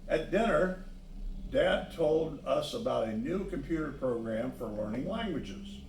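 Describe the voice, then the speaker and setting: Male picture-book reader, unhurried delivery, medium pitch, addressing young listeners, recorded at a distance in a roomy space. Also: lively intonation, darkish tone, slight background noise. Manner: pauses to point out the pictures. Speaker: storybook reader. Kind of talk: read-aloud children's story narration with asides on the pictures. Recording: echoey room, distant microphone.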